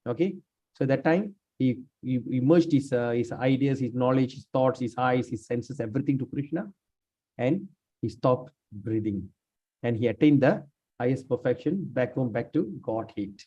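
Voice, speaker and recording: A man leading a scripture class, speaking haltingly with many fillers and restarts while he has lost his voice. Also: mid-range voice, expressive, dark tone, breathy edge. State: lost his voice, sprained neck. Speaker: class leader. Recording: dull lo-fi room recording.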